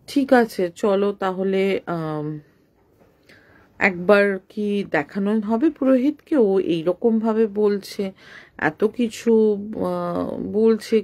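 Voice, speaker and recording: A woman's voice: on the high side, darkish tone. A woman telling a supernatural story in an informal, conversational way, mixing in English words. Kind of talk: informal spoken horror storytelling.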